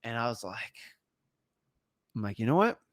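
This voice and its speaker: A young man hosting a casual chat, telling stories in an energetic, animated way. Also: medium pitch, dry recording, studio quality. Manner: energetic, fast-talking, animated storytelling. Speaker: podcast host.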